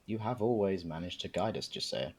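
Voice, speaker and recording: An earnest, courteous councillor played by a man in an audio drama. His lowish voice is formal yet animated, pointed with quiet suspicion, and birdsong is clearly heard behind him. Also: a little fast, noisy background. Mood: deferential.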